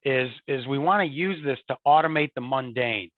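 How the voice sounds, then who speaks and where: A man's voice: medium pitch, normal speed, no echo. A man speaking as an interview guest in a conversational way. He repeats words and often adds "you know" and "right?". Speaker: guest, support and field services executive. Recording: tinny remote call audio, dry.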